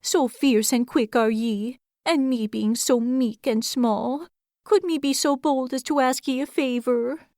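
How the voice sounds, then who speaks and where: A woman's voice: high-pitched, a little slow, a little mellow, no room sound, clean studio sound, voice pushed up. A woman voicing a shy, meek little male hedgehog who talks in quaint, broken grammar. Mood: timid.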